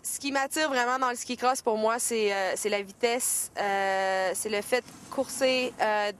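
A woman's voice: fairly high pitch, moderate pace, loud music behind the voice. A woman athlete, answering hesitantly outdoors in the snow. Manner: hesitant, many fillers. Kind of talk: casual interview answers.

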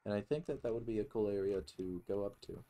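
A man's voice: fairly low pitch, fast, mellow. A man giving casual let's play commentary, murmuring short reactions to himself as he plays. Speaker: gaming video host.

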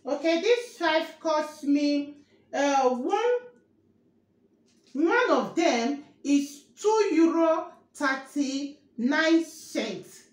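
A woman in her thirties vlogging a grocery haul in her kitchen, slowly repeating each price and asking viewers whether they can see the items. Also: high voice, expressive. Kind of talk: grocery haul show-and-tell. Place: kitchen, indoors. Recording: echoey room with faint noise.

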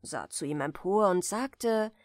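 A woman reading a fairy tale aloud as a bedtime audiobook, softly and soothingly, in a deliberately gentle manner. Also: fairly low pitch, animated, no room sound. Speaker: audiobook reader.

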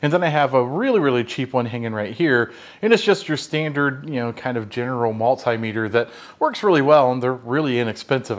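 A man from the United States with an American accent gives a conversational, explanatory hands-on tool review in a workshop. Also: mid-range voice. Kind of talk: hands-on product review and demonstration. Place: home workshop at a workbench.

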